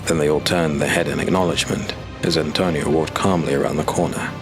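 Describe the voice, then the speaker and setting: A man narrating a dark fantasy audio drama in a measured, steady storytelling voice. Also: a bass voice. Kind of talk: scripted narration. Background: music.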